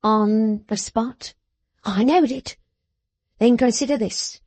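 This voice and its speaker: Woman reader doing jovial old man, rough grammar, high and dry, slow and emphatic. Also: a little mellow, no room sound. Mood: eager. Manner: broad, rough-grammared, enthusiastic. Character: hearty uneducated older man, jovial and boastful.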